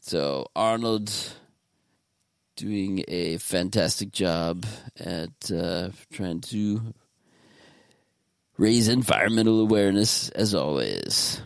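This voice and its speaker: A man's voice: sits low, lively intonation, takes it slowly, vocal fry, no room sound, studio quality. A man hosting a podcast in casual chat, talking in a laid-back, meandering way. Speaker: podcast host.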